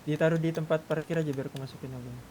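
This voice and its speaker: A man's voice: on the high side, fairly even intonation, racing, a little mellow, a little hiss or hum. A man chatting casually with other players during a roleplay game.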